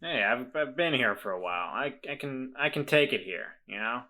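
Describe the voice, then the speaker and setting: A man playing a tabletop roleplaying game, talking in a hesitant, halting way full of restarts. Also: medium pitch, lively intonation, bright, forceful tone. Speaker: player.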